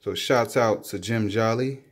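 Slow low-voiced man showing off his snake. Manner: excited, admiring, unhurried. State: sweating, a bit winded while handling. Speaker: reptile keeper vlogger. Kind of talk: casual handheld show-and-tell vlog. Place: indoors at home, by a table. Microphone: handheld phone camera, off-screen speaker.

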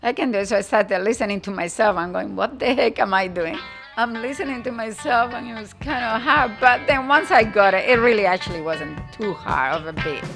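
A woman's voice: on the high side, brisk, music in the background. An older woman from Spain with a Spanish accent, talking casually and animatedly about her life as a guest in an interview. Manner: animated, imitates drum beats with her mouth. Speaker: guest musician.

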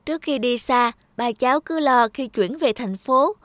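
A woman's voice: high voice, normal speed, fairly bright tone, dry recording. A woman voicing a trusting, innocent little boy in a cartoon, earnest and childlike. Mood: polite, wistful. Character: trusting, innocent little country boy missing his father. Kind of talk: cartoon dubbing. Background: music.

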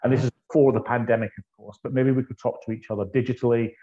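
A Scottish man in his fifties from the northeast of Scotland, chatting as a guest over a video call with an earphone mic and telling his story in a relaxed, anecdotal way. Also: medium pitch, fast. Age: 50s. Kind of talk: conversational interview. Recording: dull lo-fi video call audio.

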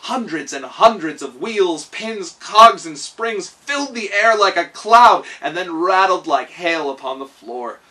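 A man's voice: high voice, expressive, unhurried, hardly any pauses, pushed and bright, recorded from a distance, rough recording. A man in his thirties reading a children's story aloud in a dramatic, emphatic manner. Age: 30s. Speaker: storyteller and host. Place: small room indoors at home.

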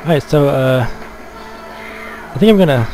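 A man giving casual gameplay commentary as a Let's Play gamer, in a hesitant, low-key manner. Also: lively intonation, slow pace, a little mellow, rough recording. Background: game music.

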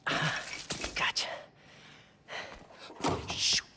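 A man playing a nerdy, earnest teenage boy in a video game, muttering to himself in a hush as he sneaks around. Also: drawn-out words, very noisy. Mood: furtive, focused. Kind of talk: video game character dialogue.

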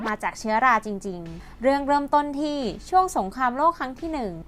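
High-pitched woman narrating an educational video. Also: big pitch swings, fairly quick. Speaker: host and narrator.

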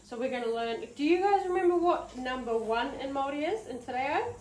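A woman in her thirties with a New Zealand accent, leading an interactive children's story time with animated, encouraging, drawn-out teacher talk. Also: high-pitched. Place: preschool room, indoors. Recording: roomy, distant mic, background noise.

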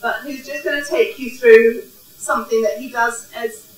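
A woman's voice: fairly high pitch, fairly even intonation, moderate pace. A middle-aged woman, a college coordinator, welcomes students at a lectern and introduces staff, checking in with the audience as she goes. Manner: warm, orderly, checks in with the audience. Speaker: college quality coordinator opening a conference. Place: large indoor hall. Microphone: podium microphone. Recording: echoey hall from a distance.

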